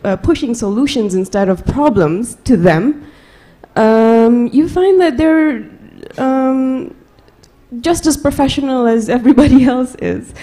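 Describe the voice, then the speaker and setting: Hesitant woman with a mellow voice. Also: on the high side, unhurried. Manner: hesitant, many fillers. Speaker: panelist. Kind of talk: panel discussion answer.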